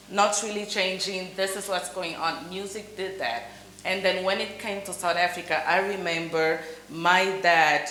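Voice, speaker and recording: A woman tells her story in a loose, conversational way on a panel. Her voice sits a little low. The room around her echoes, and there is a faint hum. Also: fairly bright tone. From Angola. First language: Portuguese. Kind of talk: panel discussion.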